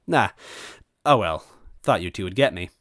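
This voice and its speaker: A man's voice: deep voice, lively intonation, normal speed, a bit bright, no room sound, studio quality. A man playing a mischievous, mocking ghost in a story reading, with a slangy, teasing, casual manner. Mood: breezy, casual. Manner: slangy, teasing, gleeful drawl.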